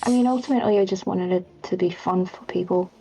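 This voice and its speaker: A woman's voice: fairly low pitch, quick pace, dark tone. A woman game developer chatting casually in a Q&A, hesitant as she thinks aloud, with dull lo-fi sound. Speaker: game developer, project lead and writer. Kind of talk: casual Q&A chat. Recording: dull lo-fi sound, faint music.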